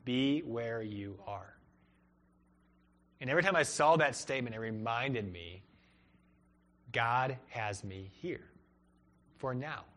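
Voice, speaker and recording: A man's voice: mid-range voice, sharp tone. Earnest young male preacher. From Peru and Cleveland, Ohio. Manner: earnest, rises and falls for emphasis. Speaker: pastor. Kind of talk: sermon.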